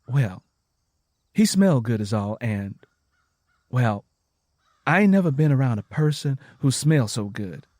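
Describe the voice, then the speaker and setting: A man's voice: medium pitch, big pitch swings, measured pace, long pauses, darkish tone, dry recording, studio quality. A man voicing a wary, teasing Southern country wife in her twenties, with blunt, folksy dialect, in an audio story. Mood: evasive, sheepish.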